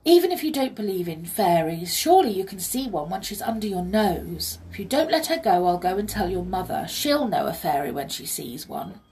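A woman voicing a kind, excitable young girl who exclaims earnestly in a children's story. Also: fairly low pitch, normal speed, few pauses, poor audio. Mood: upset, protective.

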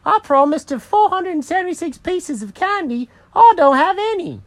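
A boastful little tomcat from a storybook, put on by a storyteller, pitched very high and squeaky. He is dramatic and easily indignant, with pitch that leaps about as he talks. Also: lively intonation, normal speed, no echo. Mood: indignant, distressed. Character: excitable, self-important talking cat, male.

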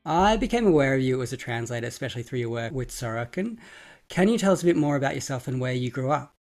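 A man hosting an interview podcast, asking questions in a measured, scripted-sounding way. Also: medium pitch, expressive, darkish tone. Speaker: podcast host.

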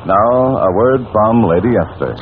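A man's voice: fairly low pitch, lively intonation, measured pace, slight background noise. A man making scripted announcements in the style of 1940s radio. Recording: tinny old radio, loud music behind.

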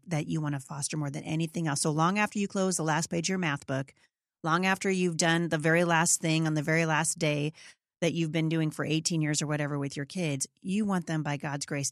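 Low-voiced woman hosting, dry sound. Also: gravelly, no echo. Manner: affirming, enthusiastic interviewer. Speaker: podcast host. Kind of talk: casual podcast conversation.

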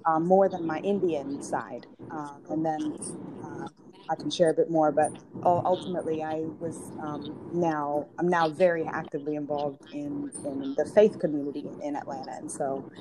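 A woman in her thirties who grew up in Georgia, telling her family story as an interview guest, pausing with ums and restarting her sentences. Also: low-pitched, fairly even intonation. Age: 30s. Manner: thoughtful, many ums and restarts. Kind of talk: casual interview, personal story. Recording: noisy recording.

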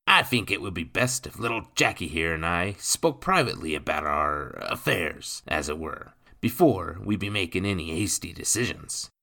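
A man voicing a menacing criminal stepfather who talks in gruff, ungrammatical street slang. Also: low voice, expressive, moderate pace, few pauses, bright, forceful tone, full character voice. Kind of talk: audiobook character voice.

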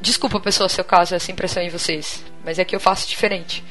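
A Brazilian woman co-hosting a podcast, chatting casually in a blunt, expressive and colloquial way. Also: on the low side, fast talker, faint music behind, low-quality recording. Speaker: podcast co-host. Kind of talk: casual group chat.

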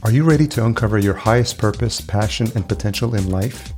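A man's voice: fairly low pitch, a little fast, darkish tone. A man reading a scripted, motivational show intro in an announcer's delivery. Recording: intro with faint music behind.

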